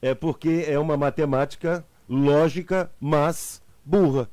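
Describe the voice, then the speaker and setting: A man's voice: a little high, steady tone, unhurried, darkish tone, no echo. A man hosting a talk show, interviewing a guest and summing up her points with short quips. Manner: brief agreeing interjections, sums up with quips. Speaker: radio talk show host.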